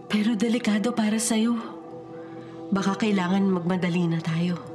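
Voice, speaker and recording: A woman's voice: mid-range voice, moderate pace, natural voice. A woman playing the vengeful ghost of a murdered young woman in a Filipino radio drama, solemn and haunting, with a Filipino accent. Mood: worried, earnest. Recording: radio drama with loud music underneath. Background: dramatic music.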